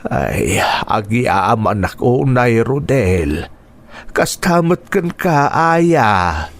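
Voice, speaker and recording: A man's voice: mid-range voice, big pitch swings, extremely slow, exaggerated voice. An actor playing an ailing older uncle in a radio drama, humble, hesitant and drawing out his words. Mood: humble, grateful.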